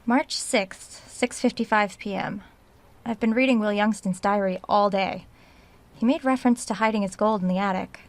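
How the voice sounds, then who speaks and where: A woman's voice: mid-range voice, normal speed, natural voice. Inquisitive woman researcher thinking aloud as acted. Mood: absorbed, curious. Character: curious female researcher recording her search of a haunted house. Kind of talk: dramatic audio-log monologue in a horror audio drama.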